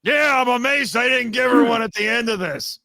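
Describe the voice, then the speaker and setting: Male podcast guest, high-pitched and gravelly-edged, teasing mock-superior trivia explainer, casual delivery. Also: fairly quick, bright, forceful tone, dry recording, studio quality. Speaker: podcast guest, fan audio drama writer and voice actor.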